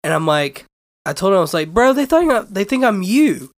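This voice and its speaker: Hoarse raspy young man, podcast host, gravelly and dry, on a close mic. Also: high-pitched, sing-song, a little fast, no room sound. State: hoarse, lost voice. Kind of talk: casual chat.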